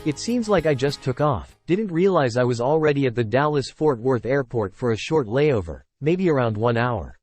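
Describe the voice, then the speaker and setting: A man's voice: mid-range voice, lively intonation, normal speed, hardly any pauses, darkish tone. A man reading scripted first-person story narration. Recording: music bed under the voice. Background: music.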